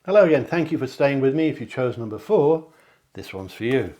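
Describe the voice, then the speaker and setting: A man's voice: medium pitch, sing-song, fairly quick, mellow. A man giving a card reading straight to the viewer, repeating phrases for emphasis as a psychic host. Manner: repeats phrases for emphasis, addresses listener directly. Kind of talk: tarot reading addressed to viewers. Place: at home.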